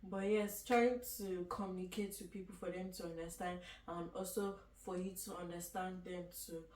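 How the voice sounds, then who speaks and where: A woman's voice: on the low side, normal speed. Young Nigerian woman, chatty and laughing. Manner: laughs often, chatty and animated. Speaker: guest student. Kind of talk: casual chat. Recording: echoey room from far off, faint noise.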